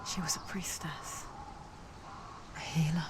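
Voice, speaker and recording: A woman playing an intimate, knowing narrator in a dark fantasy game, speaking in a breathy, confiding whisper. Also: low-pitched, steady tone. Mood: hushed, wistful. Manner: slow, hushed, confiding, addressing the listener. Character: soft-spoken female narrator voice, intimate and eerily knowing. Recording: music behind the voice. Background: music.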